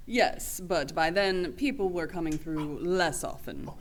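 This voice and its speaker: A woman acts as a stern female lieutenant in a radio play. Her voice sits fairly low, and she speaks in formal, clipped, factual statements. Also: measured pace, lightly acted. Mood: factual, steady. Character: stern, matter-of-fact female lieutenant from another world.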